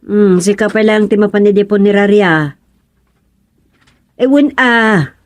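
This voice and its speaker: A woman's voice: medium pitch, measured pace, no echo, lightly acted. A woman playing a busy, friendly village organizer in an Ilocano radio drama-comedy. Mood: brisk, practical.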